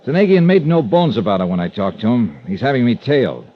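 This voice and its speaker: An actor playing a determined, wry freelance insurance investigator in a vintage radio drama, with an American accent and clipped, punchy delivery. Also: male voice, mid-range voice, big pitch swings, fast, close to an everyday voice. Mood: resolute, terse. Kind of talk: 1950s radio detective drama, narration and dialogue. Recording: dull vintage radio recording with loud music.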